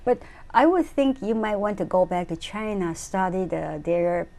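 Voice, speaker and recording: Woman, interviewer, mellow warm voice, mid pitch, halting phrasing, short prompts. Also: vocal fry. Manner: halting, non-native phrasing, brief prompts. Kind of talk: casual interview.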